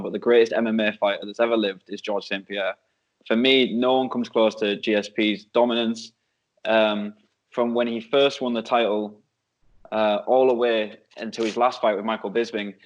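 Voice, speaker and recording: Measured young man, slightly dull audio. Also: lowish voice. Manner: fluent, measured, opinionated. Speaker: co-host. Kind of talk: casual sports analysis.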